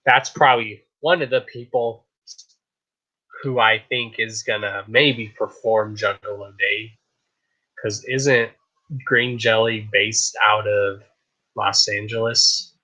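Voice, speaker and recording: A man hosting a casual live stream show, chatting in a hyped, rambling way, his voice a little raspy. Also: on the low side, fairly even intonation, slow, pushed and bright, lo-fi sound. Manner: hyped, rambling, repeats "I kid you not". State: slightly raspy voice.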